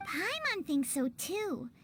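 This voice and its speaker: A woman voicing a tiny, chirpy, curious childlike fairy companion in a video game cutscene, with a squeaky voice. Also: big pitch swings, takes it slowly, fairly bright tone, soft background music, heavily acted. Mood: chatty, musing. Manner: speaks of self in third person.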